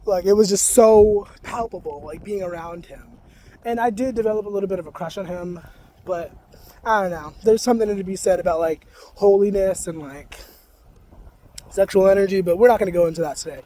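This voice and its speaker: A young man talking casually to camera in a soft-spoken, rambling way, full of "like". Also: sits high, fairly quick, slight background noise. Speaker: spiritual vlogger.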